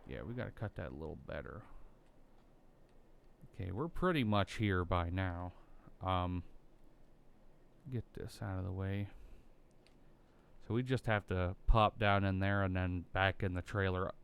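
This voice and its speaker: A young American man with a bassy voice, rambling through casual commentary while playing a driving game, full of ums and likes. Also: measured pace, gravelly, long pauses, lo-fi sound, a little hiss or hum. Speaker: gaming YouTuber.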